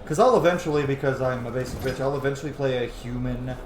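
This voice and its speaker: A man's voice: mid-range voice, fast talker. A man giving casual, profanity-laced commentary while playing a video game. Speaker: gaming video host. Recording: roomy echo, clear background noise.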